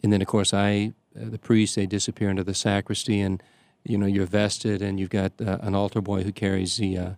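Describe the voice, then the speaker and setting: An older man, a priest from the Chicago area, telling stories in casual conversation, halting often with fillers and restarts. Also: on the low side, level tone, gravelly, a little mellow, no room sound. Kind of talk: conversational storytelling.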